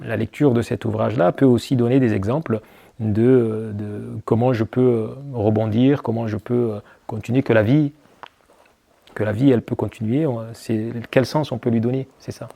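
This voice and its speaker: A man's voice: medium pitch, fairly even intonation, normal speed, vocal fry, warm tone. A man as an interview guest, answering reflectively with many hesitations and restarts. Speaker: guest, army officer.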